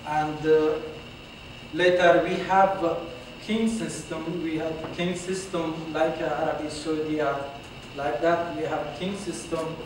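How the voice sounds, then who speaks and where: Slow hesitant man speaking in an echoey hall. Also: on the high side, level tone. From Afghanistan. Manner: hesitant, frequent "uh" pauses, non-native grammar. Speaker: symposium presenter. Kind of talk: halting slide presentation. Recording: very echoey hall, far from mic, with clear room noise.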